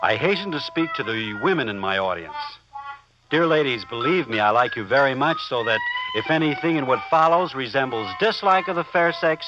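An actor playing a courtly satirical narrator, mock-polite and oratorical, in a 1940s radio drama. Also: male voice, medium pitch, expressive, a little fast, lightly acted. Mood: wry, courtly. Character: wry, courtly satirical male narrator addressing ladies. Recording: muffled vintage radio, loud music behind.

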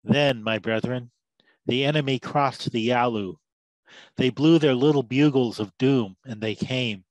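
An actor playing an embittered, drunken war veteran who tells battlefield tales in the grand cadence of a preacher. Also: male voice, medium pitch, measured pace, dry recording, close to an everyday voice, rough recording. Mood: grave, sorely afflicted. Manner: loquacious, sermon-like oratory with biblical cadence. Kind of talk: dramatised story, theatrical monologue.

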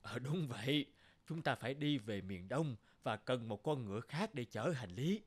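A man's voice: mid-range voice, expressive, moderate pace, lightly acted. A man voicing a middle-aged samurai lord in a cartoon, authoritative and urgent. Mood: earnest request.

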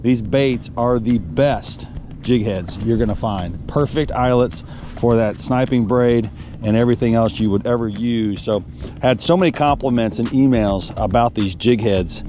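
A man's voice: mid-range voice, moderate pace, dark tone, slight background noise. A man talking casually and folksily to viewers as a fishing guide and host, recorded outdoors on a boat with an action camera. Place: outdoors on a small fishing boat on an open, windy lake. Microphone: action camera worn on the body.

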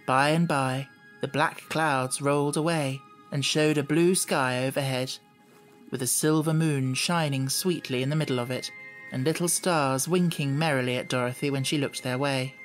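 A man's voice: medium pitch, fairly even intonation, normal speed, soft background music. A man reading scripted storybook narration as an audiobook narrator.

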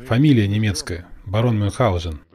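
A man from Russia, a blogger, talking in a calm, measured and modest way on a video call. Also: on the low side. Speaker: blogger interviewing a guest. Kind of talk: calm Russian voice-over of a translated conversation.

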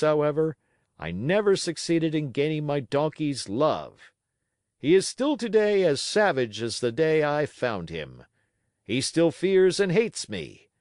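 A man's voice: on the high side, a little mellow, dry recording, studio quality, pitched above the performer's own voice. A man voicing a pompous, boastful male circus manager who addresses a crowd in grand showman's style.

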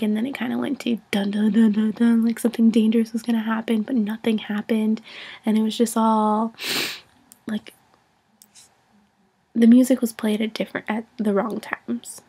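A woman's voice: a little high, vocal fry, mellow, breathy edge. A young woman with a whiny voice, getting over a cold, rambling through a casual film review into a webcam in her bedroom. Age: young adult. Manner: chatty, rambling, lots of "like" and restarts. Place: small bedroom, indoors. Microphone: webcam at arm's length.

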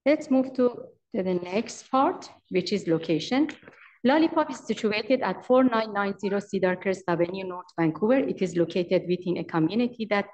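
A woman in her forties with an Iranian accent presents from notes at a public meeting. Her pitch is average for a woman, and her delivery is halting and formal. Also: expressive, a little mellow, rough recording. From Iran. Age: 40s. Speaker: daycare owner and manager presenting. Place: large council chamber. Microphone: podium microphone.

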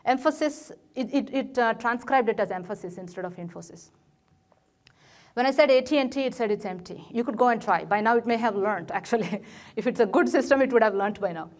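Give me a middle-aged woman with an Indian English accent explaining slides at a conference, pausing with fillers, recorded from across the room. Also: fairly high pitch, expressive. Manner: frequent fillers and restarts, gestures at slides. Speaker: conference speaker. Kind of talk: technical presentation. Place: lecture room, presenting in front of a projected slide.

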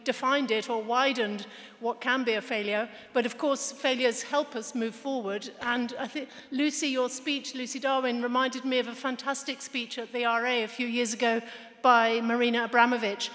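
A woman's voice: on the high side, fairly even intonation, moderate pace, a bit bright. A woman in the audience asking the panel a question, earnest and slightly rambling. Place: large hall. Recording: hall reverb.

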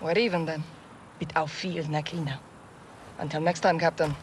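A woman's voice: low-pitched, fairly even intonation, normal speed, lightly acted. An actress playing a tough, decisive young female rebel commander in a war game, with an Arabic accent. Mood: warm, brisk. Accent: Middle Eastern Arabic. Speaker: actor in a military video game. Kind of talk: cinematic game cutscene acting. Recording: loud helicopter and vehicle noise.

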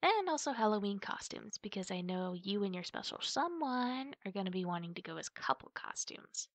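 Woman acting as a creaky-voiced, playful big sister. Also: medium pitch, sing-song, dry recording. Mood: eager, planning. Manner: chatty, playful, with drawn-out words and hushed asides. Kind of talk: ASMR roleplay, spoken to the listener.

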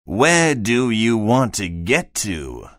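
A calm, riddling talking cat from a storybook, as a man puts it on. It drawls slowly and knowingly in a deep, lively voice. Dry recording. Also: no room sound, heavily acted. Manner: slow, drawn-out and knowing.

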